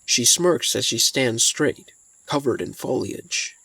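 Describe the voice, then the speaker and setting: A man's voice: mid-range voice, lively intonation, slow, gravelly, bright, forceful tone, slightly rough, no echo, low-quality recording. A man narrating a scripted fantasy story in the present tense as its narrator. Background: faint music.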